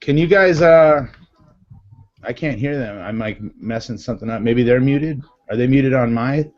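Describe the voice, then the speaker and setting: A man's voice: medium pitch, moderate pace. A man with a Massachusetts accent hosting a live video chat, upbeat and chatty with lots of fillers. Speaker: live show host. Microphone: video call. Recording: dull lo-fi video call audio.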